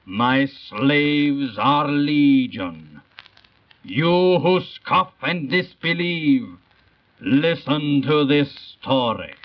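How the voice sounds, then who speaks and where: A grandiose, gloating god of fear, speaking very slowly in a raised male voice. He menaces like an old radio villain, on a dull 1930s recording. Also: on the high side, animated, far from the mic. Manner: grandiose, menacing, drawn-out delivery. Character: Superstition, a gloating tyrannical false god who rules by fear. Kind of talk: 1930s radio drama narration.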